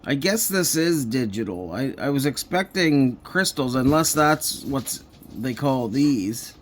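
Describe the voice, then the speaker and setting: A middle-aged man chats off the cuff at a slow pace, with an average-pitched voice. He is halting and rambling, thinking out loud, with faint noise behind him at home. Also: expressive. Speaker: hobbyist electronics recycler and video host. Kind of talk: casual unscripted vlog talk. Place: indoors at a home coffee table.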